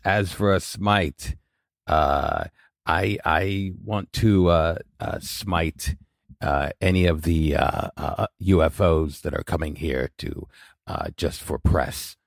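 A man co-hosting a comedy podcast, improvising banter in a halting, rambling way. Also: deep voice, creaky, dry recording, studio quality. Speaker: podcast co-host.

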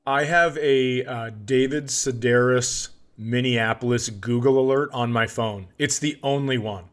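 A man's voice: medium pitch, a little slow. A man co-hosting a comedy podcast, telling casual anecdotes with wry humor, swearing and quoted dialogue.

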